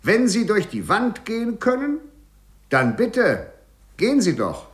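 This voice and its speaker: An actor in a 1950s German radio play, playing a sly, worldly crook in prison who haggles and mocks in a wheedling way. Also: male voice, sits high, huge pitch swings, measured pace, full character voice. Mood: mocking, amused. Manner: wheedling, sardonic, chuckling asides. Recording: 1950s radio drama recording.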